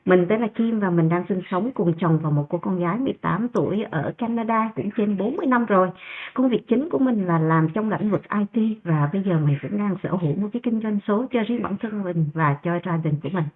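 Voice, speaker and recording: Middle-aged woman guest, calm mid-pitched voice, narrow phone-line sound. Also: fast talker. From Vietnam. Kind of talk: self-introduction on a video call. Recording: tinny phone-like call audio.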